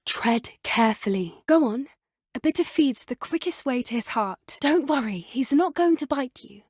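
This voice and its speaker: An eager teenage British witch who adores magical creatures. Her voice is fairly high, with an earnest, kind delivery suited to scripted game dialogue. The sound is close and dry. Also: expressive, normal speed, darkish tone, slightly rough, no room sound. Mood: earnest, gentle.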